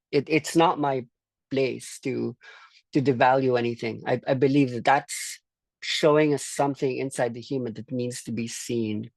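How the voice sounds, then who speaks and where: A course leader from the Philippines speaking reflectively to a group in a halting, thoughtful way, often repeating words. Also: mid-range voice, vocal fry, dry recording. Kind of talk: reflective group discussion talk.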